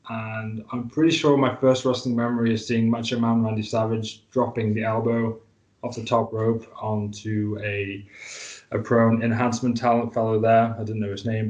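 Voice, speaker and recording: A man, chatting easily in an interview as a guest wrestler, with a self-deprecating manner and many "uh" pauses. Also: lowish voice, darkish tone, poor audio. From Isle of Man. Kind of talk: casual interview chat.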